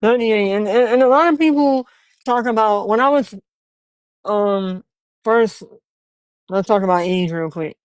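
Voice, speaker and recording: A man's voice: high-pitched, measured pace, long pauses, clear, resonant tone, dry recording. A man in his twenties hosting a podcast, earnest and encouraging, telling stories from his own start as a barber. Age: 20s. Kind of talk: casual interview chat.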